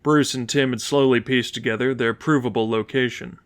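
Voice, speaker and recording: A man's voice: mid-range voice, steady tone, normal speed. A man reading fan fiction aloud as scripted audiobook narration.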